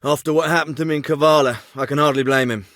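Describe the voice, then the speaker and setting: Casting: male actor as stern, sardonic special forces captain for a military game; higher-set, bright forceful voice, clipped orders, some thin radio-filtered audio. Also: fairly even intonation, fast, no room sound, close to an everyday voice. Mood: dry, measured. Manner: clipped, authoritative, dry sarcasm. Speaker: military squad commander. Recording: radio-filtered, band-limited clips with faint static.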